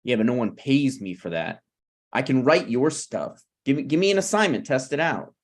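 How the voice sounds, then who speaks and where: A prickly, needy screenwriter in his late thirties, played by a man in a table read. He speaks casually but keeps pressing. His mid-pitched voice moves up and down in lively swings. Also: moderate pace. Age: 30s. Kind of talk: table read of a TV pilot script.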